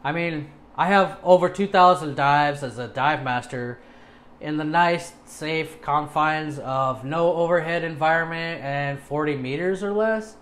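A man's voice: fairly high pitch, unhurried, fairly bright tone, slight background noise. A man in his thirties presenting a video casually, with an informal, self-deprecating manner, recorded indoors in a small home studio.

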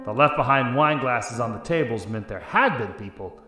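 A man's voice: medium pitch, expressive, moderate pace, music in the background, close to an everyday voice. A man playing a tough-talking, wry 1940s private detective in a noir radio drama, with clipped slangy wisecracks. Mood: brooding narration. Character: gruff hard-boiled 1940s private detective, wry and threatening. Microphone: close desk microphone with headset.

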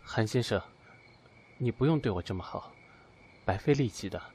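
Guarded, low-voiced young man, acted by a man. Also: animated, normal speed, a little mellow, slight background noise, close to an everyday voice. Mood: cold, guarded. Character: guarded, coolly polite young man rebuffing a suitor. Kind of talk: amateur audio drama acting.

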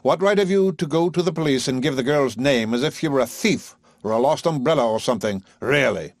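A man's voice: mid-range voice, animated, a little fast, no echo, clean studio sound, voice pushed up. A man voicing a composed, drily reproving older woman. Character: calm, dry-witted older mother, composed.

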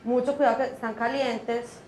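A young woman with a fairly high voice hosts a televised cooking lesson. She talks viewers briskly through each step. She is recorded on a lapel mic in a small studio kitchen, with faint room noise behind her. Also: fairly even intonation, darkish tone. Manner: brisk, explanatory, addresses viewers directly. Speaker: cooking show host.

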